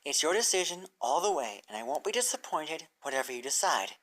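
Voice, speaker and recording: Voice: medium pitch, sing-song, a little fast, a bit bright, voice pushed up. A reader voicing an earnest, caring young adult female pony in a fan fiction story. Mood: gentle, earnest. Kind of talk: fan fiction character reading.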